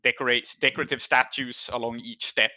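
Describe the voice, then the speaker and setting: Bright-voiced man as game master, dry recording. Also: medium pitch, level tone, fairly quick, no room sound, lo-fi sound. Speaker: game master of a tabletop role-playing show. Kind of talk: improvised game narration and rules talk.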